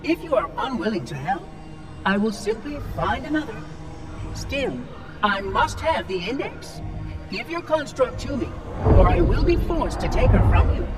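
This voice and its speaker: A haughty robotic caretaker from a sci-fi game, formal and fussy. The voice swings widely in pitch and sits back from the mic, with prominent music behind it. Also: male voice, very animated, normal speed, far from the mic. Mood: insistent, menacing. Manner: pompous, formal, wordy, affronted. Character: fussy, haughty floating AI machine caretaker of a ring installation. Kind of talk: cinematic video game acting.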